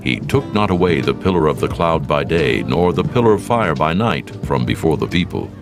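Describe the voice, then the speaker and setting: A man narrating a dramatized scripture reading in a slow, solemn, measured way. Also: a bass voice, very animated. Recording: narration over music.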